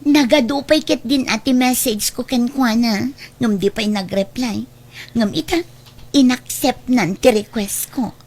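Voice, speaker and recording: A woman's voice: on the high side, a little slow, darkish tone. A woman acting a bold, forward young woman in an Ilocano radio drama, with an Ilocano Filipino accent. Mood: eager, giddy.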